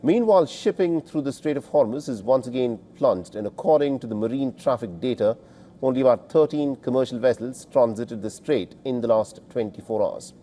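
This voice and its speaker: A man's voice: mid-range voice, moderate pace, dark tone. A man reading scripted news narration as a presenter, in a formal, emphatic delivery.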